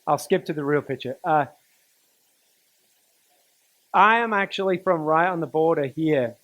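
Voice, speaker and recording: A man's voice: a little high, a bit bright, no echo. Middle-aged man. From Newcastle, northern England. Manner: conversational, halting delivery with dry humour. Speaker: teacher and coach giving a speech to students. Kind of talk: personal motivational talk, storytelling.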